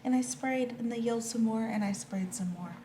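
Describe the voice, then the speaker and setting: A woman's voice: fairly high pitch, a little fast, dark tone, pitched above the performer's own voice, natural voice. A woman voicing a frightened, defensive skunk from a storybook, upset and speaking in simple childlike phrases. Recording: echoey room with clear background noise.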